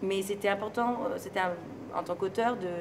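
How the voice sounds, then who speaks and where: A woman from France being interviewed, speaking in a relaxed, thoughtful and hesitant way and laughing easily. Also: medium pitch, brisk. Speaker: interviewee, singer and stage performer. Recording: noisy location recording with ambient sound.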